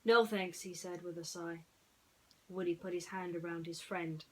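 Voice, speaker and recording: A woman storyteller talking in a musing, unhurried way, with pauses, as in bedtime reading. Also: fairly low pitch, flat delivery.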